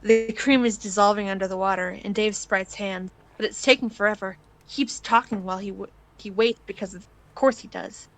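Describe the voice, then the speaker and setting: A woman's voice: mid-range voice, fairly even intonation, fairly quick, hardly any pauses, poor audio. A woman reading scripted second-person fan fiction aloud as the narrator.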